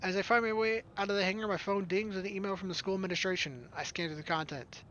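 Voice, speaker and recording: A man's voice: high voice, steady tone, fast, sharp tone, highs cut off, a little hiss or hum. A young man streaming a visual novel, reading its narration aloud with casual, joking asides. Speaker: let's play streamer. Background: game music.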